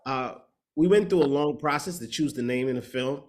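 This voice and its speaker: A man from the Bahamas, a matchmaker and speaker, telling his story in a reflective, steady way in an interview over a video call, sometimes searching for words. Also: mid-range voice, moderate pace.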